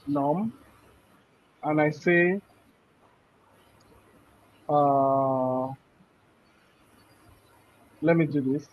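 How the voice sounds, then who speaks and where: A man teaching a programming class. He walks through code step by step and keeps checking in with "right?". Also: fairly high pitch. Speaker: programming instructor. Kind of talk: live coding class explanation. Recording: muffled, dull audio.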